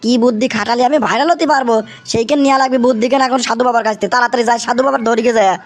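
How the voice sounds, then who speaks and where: A man with a Bangladeshi accent voicing an excitable young cartoon bachelor desperate for fame, whiny and pleading, repeating himself emphatically. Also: very high voice, racing. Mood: excited scheming. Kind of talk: exaggerated comic cartoon acting.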